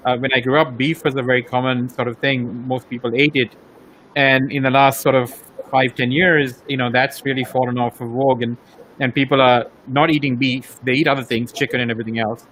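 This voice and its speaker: A man's voice: medium pitch, flat delivery, a little fast, a bit bright, a little hiss or hum, rough recording. Rambling man. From Sri Lanka. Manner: rambling, many fillers and repeated words. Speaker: interviewer. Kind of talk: casual interview conversation.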